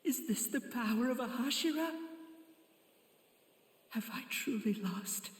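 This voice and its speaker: A slow, high-voiced defeated demon. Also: male voice, animated. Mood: bitter despair. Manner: slow, theatrical, self-pitying. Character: defeated young male demon, bitter, anguished, theatrical. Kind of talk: dramatic anime game monologue. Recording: echoey hall-like reverb.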